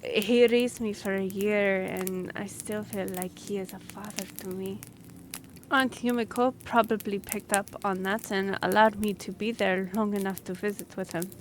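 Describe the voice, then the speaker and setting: A woman's voice: medium pitch, steady tone, normal speed, lightly acted. A woman playing a friendly, earnest young half-elf royal messenger in a fantasy audio roleplay, speaking a little hesitantly. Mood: earnest, explaining. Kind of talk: fantasy audio roleplay, one-sided conversation. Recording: noisy track with background ambience.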